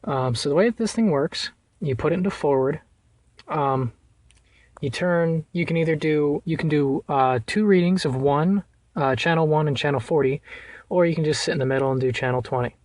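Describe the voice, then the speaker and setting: A man with a slightly high voice walks through a DIY installation. He talks informally and haltingly, thinking aloud as he explains each step. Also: a little mellow, no room sound. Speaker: vlogger showing a car radio install. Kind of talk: casual hands-on how-to explanation.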